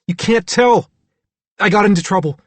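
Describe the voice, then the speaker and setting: A frightened little boy, as a male audiobook reader puts him on, pleads in a high, urgent voice. His words come quickly and sharply. The recording is dry and close. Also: no echo, natural voice. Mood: upset pleading.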